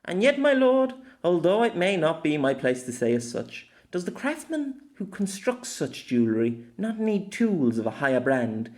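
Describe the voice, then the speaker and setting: Guy doing a snooty young fantasy lady, high voice, super theatrical and indignant, audiobook. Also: big pitch swings, normal speed, hardly any pauses, darkish tone. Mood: dramatic reading. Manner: theatrical, accusing. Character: haughty, indignant young noble lady adventurer.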